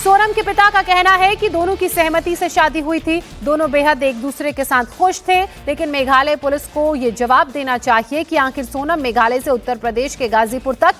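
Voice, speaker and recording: A woman's voice: high-pitched, moderate pace, hardly any pauses, a bit bright, soft background music. A woman news presenter narrating a scripted report to viewers in an emphatic, explanatory way.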